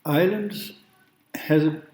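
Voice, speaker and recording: Slow, low-voiced man lecturing. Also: a little mellow. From Germany. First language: German. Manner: hesitant, halting with restarts and throat clearing. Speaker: law professor. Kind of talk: university lecture. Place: large classroom. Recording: echoey room.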